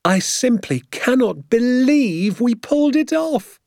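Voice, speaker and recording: A man's voice: mid-range voice, sing-song, normal speed, darkish tone, no echo, studio quality. A man voicing an exuberant, boastful father in a storybook, grandly emphatic and stretching his words with relish. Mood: elated.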